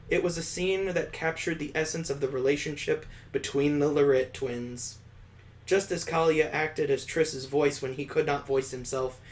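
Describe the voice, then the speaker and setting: A man hosting a let's play, reading a visual novel aloud and dropping in wry asides. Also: mid-range voice.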